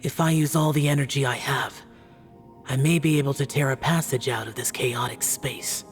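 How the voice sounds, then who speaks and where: An actor playing a stoic young immortal warrior in a video game, solemn, terse and resolute. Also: male voice, medium pitch, fairly even intonation, normal speed, soft background music, natural voice. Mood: grim resolve. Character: stoic young male immortal warrior, grim and self-sacrificing.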